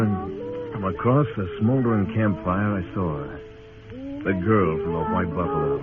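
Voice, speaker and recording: An American man acting a hard-boiled US marshal in an old radio western, laconic and wry, both narrating and talking. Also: low-pitched. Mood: wry, brooding. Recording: muffled old radio recording with music.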